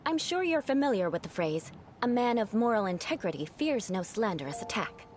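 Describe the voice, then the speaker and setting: A woman's voice: mid-range voice, very animated, a little fast, slight background noise, lightly acted. A woman voicing an aloof young noble knight who speaks in a formal, haughty way. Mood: instructive. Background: game music.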